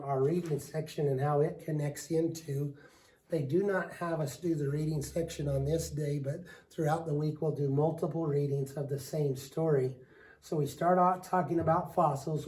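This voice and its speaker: A man with a raspy voice from a lingering cold, walking through a reading lesson as a teacher, steady and explanatory with frequent ums. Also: fairly high pitch, rough recording. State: hoarse from a cold. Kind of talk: recorded lesson walkthrough.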